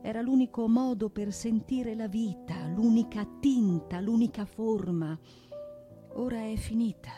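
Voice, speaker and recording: A woman performs a dramatic recitation for radio theatre. Her voice sits high and she takes her time, solemn and deliberate with each phrase. Soft piano music plays behind her. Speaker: stage performer, actress and singer. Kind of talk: theatrical recitation of poetry and prose with sung ballads. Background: piano accompaniment.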